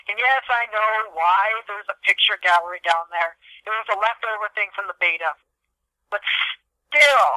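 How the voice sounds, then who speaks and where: A man's voice: high-pitched, sharp tone, no echo, poor audio. A man co-hosting a casual gaming podcast, ranting in exasperated mock-seriousness and swearing freely. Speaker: podcast co-host.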